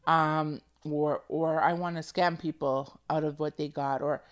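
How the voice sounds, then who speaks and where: A woman's voice: sits low, fairly even intonation, measured pace. A woman touched by speech hosts a podcast and talks in an earnest, emotional way, often repeating phrases to press a point. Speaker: podcast host. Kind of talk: casual heartfelt monologue.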